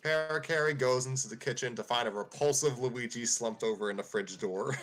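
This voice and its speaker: A man's voice: mid-range voice, a little fast, fairly bright tone, soft background music. A man narrating a fan script casually, informally breaking off to make side comments. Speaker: narrator and reader.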